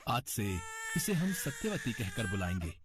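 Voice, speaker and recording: A man's voice: medium pitch, huge pitch swings, moderate pace, background noise. A man playing a fatherly boatman from ancient India in a dramatized devotional storybook, speaking expressively. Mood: tender.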